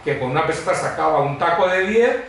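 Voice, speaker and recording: A middle-aged or older man gives a personal testimony to a church congregation in a conversational, expressive, digressive way, telling humorous anecdotes. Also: a little high, quick pace. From Madrid, Spain. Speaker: guest preacher giving testimony. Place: church hall before a congregation. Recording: very echoey hall, distant mic, faint noise.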